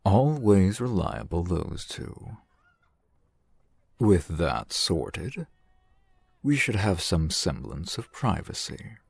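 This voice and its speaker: A man playing a suave, shrewd fantasy shopkeeper in an audio roleplay, speaking in measured, formal, wry phrases with a gravelly, husky voice, close to the mic. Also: low voice, sing-song, dry recording, full character voice. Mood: sly, businesslike. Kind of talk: fantasy ASMR roleplay acting. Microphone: close microphone, dry sound.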